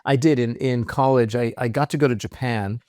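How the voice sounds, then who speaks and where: A man's voice: medium pitch, fairly even intonation, fairly quick, dark tone, clean tone, dry recording, clean studio sound. A man guest speaking on a podcast, explaining ideas thoughtfully with frequent "um" and "right?". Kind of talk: reflective interview talk.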